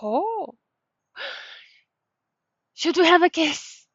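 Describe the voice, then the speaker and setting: A woman's voice: very high-pitched, very animated, very slow, a bit bright, no room sound. A woman streaming a game with casual commentary in non-native English, often searching for words. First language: not English. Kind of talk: casual gameplay commentary, reading dialogue aloud. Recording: dull sound with faint music behind. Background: game music.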